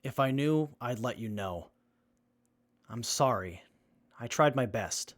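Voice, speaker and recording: Young male survivor played by a man, earnest, matter-of-fact, medium-pitched, audio drama. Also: animated, slow. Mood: apologetic. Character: Johnny, earnest, apologetic, knowledgeable young man. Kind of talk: post-apocalyptic audio drama acting.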